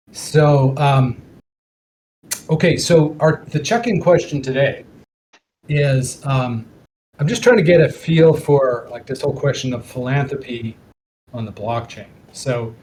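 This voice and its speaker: A man facilitating a casual online meeting, talking haltingly as he thinks aloud. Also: mid-range voice, a little mellow. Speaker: working group meeting facilitator. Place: online group call. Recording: online call with clear background noise.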